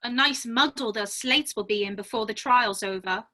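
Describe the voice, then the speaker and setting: A woman's voice: on the high side, normal speed, sharp tone. A woman playing an outspoken, curious young girl with an emphatic, indignant manner in a dramatic reading. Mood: puzzled, scornful. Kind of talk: dramatic reading of a classic children's story.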